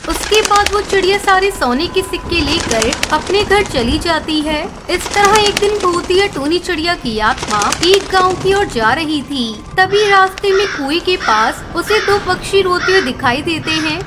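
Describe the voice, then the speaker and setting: A woman's voice: very high voice, expressive, normal speed, few pauses. A woman narrating a scripted children's story as the storyteller. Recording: clear background noise and ambience.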